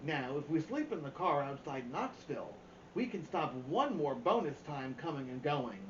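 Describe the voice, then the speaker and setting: Man voicing a brisk organized mom, echoey room. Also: fairly high pitch, sing-song. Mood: organized, upbeat. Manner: emphatic, announcing itemized plans. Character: brisk, organized mother planning a road trip. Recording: roomy, dull, faint background noise.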